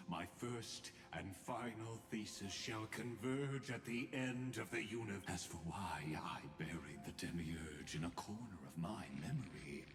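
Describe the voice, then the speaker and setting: Menacing scholar villain, very low and deliberate, game dialogue in long reverb over music. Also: male voice. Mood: cold, resolute. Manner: slow, deliberate, theatrical delivery. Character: cold, grandiose male sage scholar, scheming and menacing. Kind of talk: dramatic video game dialogue.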